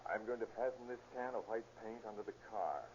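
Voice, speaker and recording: A man acting a shrewd, hard-boiled police detective with a short fuse in a 1930s radio drama, brisk, blunt and slangy. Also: lowish voice, darkish tone, dull audio, natural voice. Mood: hushed, busy. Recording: noisy, band-limited old 1930s radio recording.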